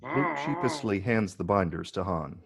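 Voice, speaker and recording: A man with a gravelly, husky voice reading scripted screenplay narration. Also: low voice, lively intonation, normal speed, a bit bright. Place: virtual call from separate location.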